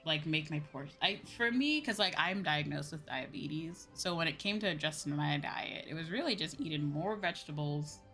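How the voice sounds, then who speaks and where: A woman's voice: low voice, expressive, moderate pace, few pauses, sharp tone. A woman chatting casually and expressively as she hosts a live gaming stream, rambling conversationally with viewers. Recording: music playing behind the voice. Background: music.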